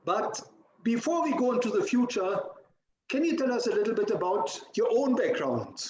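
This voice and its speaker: A man hosting an interview, asking polite, measured questions. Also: sits high, big pitch swings, a little mellow. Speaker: interviewer, host. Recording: echoey room sound.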